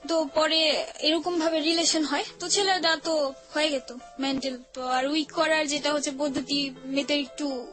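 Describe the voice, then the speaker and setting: High-voiced young Bangladeshi woman over music. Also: fairly even intonation, normal speed, fairly bright tone. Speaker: show guest. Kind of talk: candid personal storytelling in casual colloquial talk. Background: background music.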